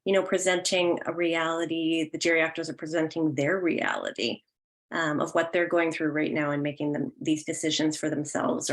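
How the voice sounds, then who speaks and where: Woman, slightly low voice, online session host, encouraging, affirming, hesitant phrasing. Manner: encouraging, affirming audience comments. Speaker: host of an online audience discussion. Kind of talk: hosting a virtual question and comment session. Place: online session, remote call.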